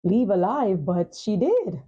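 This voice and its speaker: A woman hosting a podcast interview, speaking in an earnest, measured, reflective way with frequent pauses. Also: mid-range voice, very animated, mellow, slightly rough, highs cut off. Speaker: talk show host and advocate.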